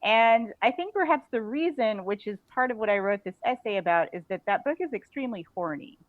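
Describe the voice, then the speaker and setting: A woman's voice: on the high side, expressive, fairly quick, no room sound. Woman guest on a thin phone-like line. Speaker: podcast guest, author. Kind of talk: casual interview chat. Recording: thin phone-like call audio.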